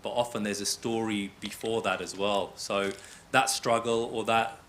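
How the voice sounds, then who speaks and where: A man's voice: on the low side, steady tone, moderate pace, fairly bright tone, a little hiss or hum. A man acting as MC of a panel discussion, affirming and summarizing what the speakers say before handing on. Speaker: MC and panel moderator.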